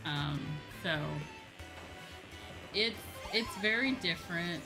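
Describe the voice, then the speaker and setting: A woman with a distinctly low-pitched voice talks casually on a gaming livestream. Her delivery is informal and conversational, leaning on repeated words for emphasis. Music plays clearly behind her. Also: expressive, slow pace, low-quality recording. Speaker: livestreamer, VTuber. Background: background music.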